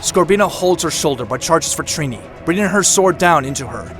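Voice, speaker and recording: A man's voice: a little high, lively intonation, a little fast. A man reading scripted action narration for an audio drama. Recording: loud music bed. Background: loud music.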